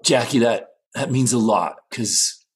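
A man playing an insecure, aging rock singer in an improvised scene, rambling and stammering in a husky voice. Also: mid-range voice, a little slow. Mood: insecure, boastful. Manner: rambling, stammering, repetitive, sweary. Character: needy, insecure aging male rock singer, past his prime. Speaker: actor in an improvised theater scene. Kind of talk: improvised monologue and dialogue acting.

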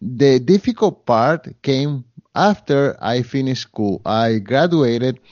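Slow, hesitant Spanish-accented man. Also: medium pitch, lively intonation, a little mellow, dry recording, muffled recording. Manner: earnest, hesitant with many fillers and restarts. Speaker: guest. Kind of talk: interview conversation.

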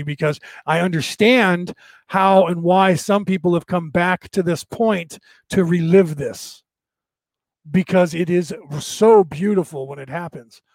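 A man hosting a casual livestream, rambling through spiritual musings with many restarts, his slightly raspy voice tiring. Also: fairly high pitch, no echo. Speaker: podcast host. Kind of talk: casual livestream monologue answering viewer comments.

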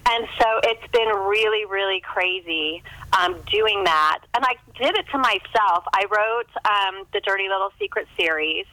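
A woman's voice: medium pitch, normal speed, sharp tone, no echo. A middle-aged woman talking in a casual, chatty, self-deprecating way, as an interview guest on a phone call. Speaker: author being interviewed.

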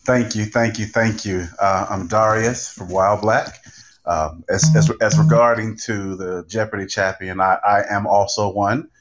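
A man's voice: fairly low pitch, fairly even intonation, normal speed. A man talking casually as a podcaster on a panel. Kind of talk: casual, joking panel talk.

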